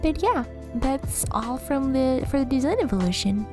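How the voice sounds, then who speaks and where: A woman's voice: high voice, animated, moderate pace. A woman giving casual, chatty game commentary as a video host, rambling and playful. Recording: music behind the voice. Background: music.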